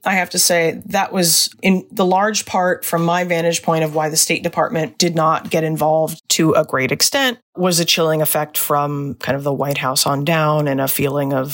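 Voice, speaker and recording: A woman with a deep voice, a former diplomat speaking candidly and reflectively as a podcast guest. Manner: candid, reflective, animated storytelling. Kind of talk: podcast interview.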